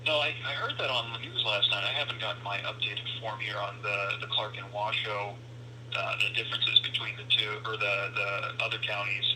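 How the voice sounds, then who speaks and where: A man's voice: lowish voice, fairly even intonation, fairly quick, heavy background noise. A man from a safety agency answering a caller's questions on the phone while searching for documents. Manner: searching aloud while scrolling, apologetic. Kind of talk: phone call.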